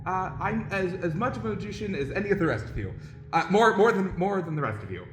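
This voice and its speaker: Second-rate stage magician, played by a man: hesitant, self-justifying, lively swings in pitch, improvised radio comedy, roomy. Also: quick pace. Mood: defensive, flustered. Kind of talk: improvised comic radio play. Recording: roomy, music underneath.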